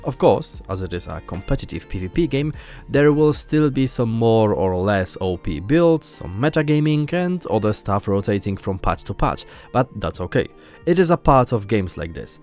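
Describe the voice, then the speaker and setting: A man's voice: medium pitch, darkish tone. A young man from Poland with a Polish accent, earnestly narrating a scripted gaming video as host.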